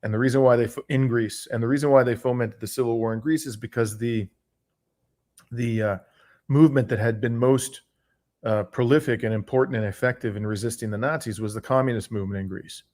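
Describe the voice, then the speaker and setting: A Canadian man in his sixties telling his background as an interview guest, articulate and measured, pausing often mid-sentence. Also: medium pitch, fairly even intonation, darkish tone. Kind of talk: reflective autobiographical interview talk.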